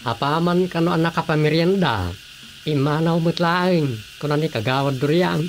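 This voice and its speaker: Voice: medium pitch, normal speed, natural voice. An actor with an Ilocano accent playing a concerned, gossipy village acquaintance in a radio drama. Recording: faint background noise.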